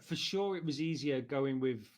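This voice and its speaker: A man's voice: mid-range voice, animated, normal speed, darkish tone. A man in his thirties with a Liverpool accent, chatting as a podcast guest in a rambling way with many fillers. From Liverpool, England. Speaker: interview guest. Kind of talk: casual interview chat.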